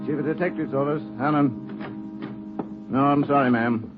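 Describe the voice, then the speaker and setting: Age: adult. Figure: a man doing a brisk police desk officer. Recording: tinny, humming. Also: medium pitch, steady tone, over music, lightly acted. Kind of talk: 1940s radio drama acting. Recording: tinny radio with mains hum.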